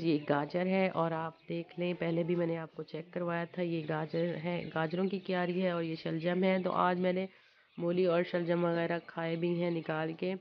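A young woman from Pakistan with a slightly hoarse throat, chatting to viewers in a casual vlog while walking through fields and her village home outdoors. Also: on the low side. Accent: Punjab. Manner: chatty, addresses viewers often. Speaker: village vlogger. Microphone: phone camera held nearby. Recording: lo-fi phone audio with outdoor noise.

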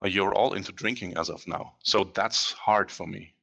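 Man demoing software, bright voice. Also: low voice, fairly even intonation, creaky. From Germany. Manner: conversational, frequent "um" pauses, self-correcting. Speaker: presenter at online meetup. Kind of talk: casual product demo presentation. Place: dim indoor room in front of a large screen. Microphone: headset.

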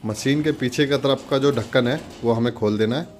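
A man's voice: medium pitch, a little fast, darkish tone, faint music behind. A man narrating a casual, step-by-step product demonstration in an instructional way, pointing things out as he goes. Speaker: product demonstrator and channel host.

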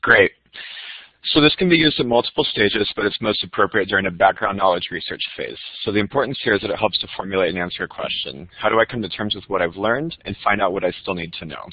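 A man with a cold presenting a teaching method in a webinar, explaining in a steady, slightly halting way. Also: lowish voice, husky, no echo. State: has a cold. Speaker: college instructor. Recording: muffled dry webinar audio.

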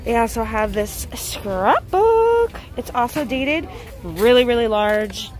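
A woman in her forties filming a shopping vlog on a handheld phone, gushing and exclamatory as she shows her finds. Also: a little high. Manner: gushing, exclamatory, quick bursts of excitement. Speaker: shopping vlogger. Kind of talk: excited shopping haul vlog. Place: parked car, then indoor retail store. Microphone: handheld phone camera.

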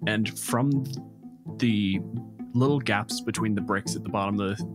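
A man's voice: lowish voice, unhurried. A man working as game master, narrating a tabletop role-playing game in a conversational, descriptive way. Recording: guitar music behind the voice. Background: plucked guitar music.

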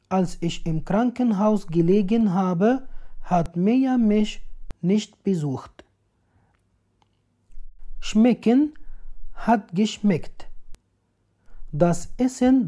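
A woman reading example sentences slowly and deliberately, each one twice, as a language teacher in a voice-over. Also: mid-range voice, mellow. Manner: slow, deliberate, clearly enunciated.

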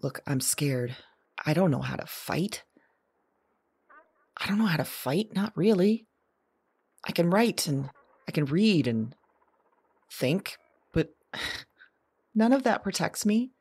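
A woman's voice: fairly low pitch, animated, slow pace, long pauses, darkish tone, husky, no room sound, full character voice. A woman playing an anxious, searching modern woman in an audio drama, with a hesitant, confessional delivery. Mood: scared, despairing. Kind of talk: audio drama monologue acting.

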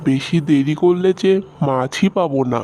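A man playing a caring, middle-aged village fisherman father in a fairy-tale cartoon, speaking in a gentle, fatherly way. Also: fairly high pitch, normal speed, a little hiss or hum, lightly acted. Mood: affectionate, busy.

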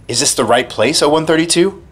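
An actor playing an inquisitive, insistent male FBI agent in an old-time radio drama, his voice husky. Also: fairly high pitch, a little fast, a bit bright. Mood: curious, insistent. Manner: questioning, insistent.

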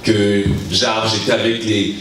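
Impassioned preacher in an echoey hall. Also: male voice, fairly low pitch, level tone, fairly bright tone, a little hiss or hum. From Congo (Kinshasa). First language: English is his stronger language. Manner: impassioned, halting French with restarts. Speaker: guest preacher. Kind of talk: sermon to young people. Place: large church hall, indoors. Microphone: handheld mic. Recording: echoey hall, mic heard from a distance.

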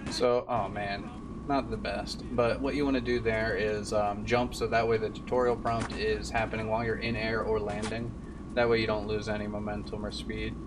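A man's voice: fairly low pitch, flat delivery, normal speed. A man in his twenties giving casual live commentary as he streams a game run. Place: indoor room at a streaming desk. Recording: distant mic, game noise behind. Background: game sound and music.

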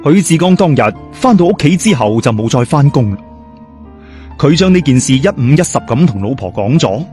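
A man's voice: medium pitch, sing-song, moderate pace, a little mellow, faint music behind. A man with a Cantonese accent narrating a scripted horror story in a dramatic, suspenseful storyteller's manner. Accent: Hong Kong or Guangdong Cantonese.

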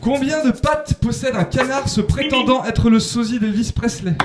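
A young man hosting a comedy quiz in a studio, reading the questions in a dry, formal deadpan into a handheld mic. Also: very high-pitched, normal speed, very noisy. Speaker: quiz show host. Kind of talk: deadpan scripted quizmaster. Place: bright TV studio set, indoors. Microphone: handheld microphone.

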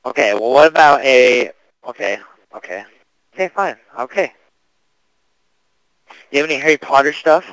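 A man's voice: mid-range voice, lively intonation, moderate pace, long pauses, a bit bright, no room sound. A young man making a casual prank phone call, asking slangy questions like a mock-earnest customer. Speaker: prank caller.

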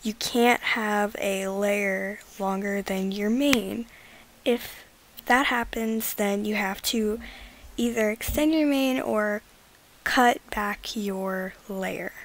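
A teenage girl with a raspy voice, rambling casually through an editing tutorial and slipping into whispers at times. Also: medium pitch, steady tone, slow pace, rough recording. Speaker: gaming video creator.

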